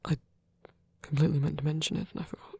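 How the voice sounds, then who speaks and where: A performer voicing a gentle, protective vampire priest in a soft, husky whisper, tender and hesitant, as intimate ASMR roleplay. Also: mid-range voice, level tone, racing. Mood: shy, confiding.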